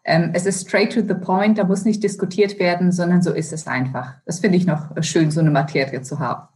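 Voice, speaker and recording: A woman's voice: on the low side, fairly even intonation, a little fast, a little mellow. A woman from Brazil, a finance executive, answering interview questions in a thoughtful way, with many fillers and restarts and some English words mixed in.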